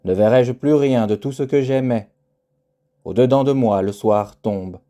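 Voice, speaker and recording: Slow, very dark-voiced man reciting poetry. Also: medium pitch. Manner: solemn, measured, with deliberate pauses. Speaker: poetry reader.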